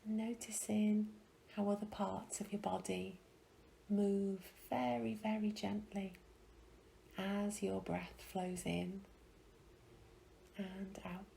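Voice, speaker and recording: A woman guiding a meditation in a soft, breathy, soothing way, leaving long pauses between phrases. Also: medium pitch, little pitch movement, slight background noise, low-quality recording. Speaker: meditation guide. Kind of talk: guided meditation.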